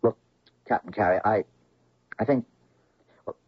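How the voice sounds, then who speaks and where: Husky, insistent young doctor son. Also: male voice, on the low side, a little slow, dry recording. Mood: urgent disbelief. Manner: urgent, emphatic, questioning. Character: earnest, insistent male doctor, grown son. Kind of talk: radio drama acting. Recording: dull, muffled old radio recording.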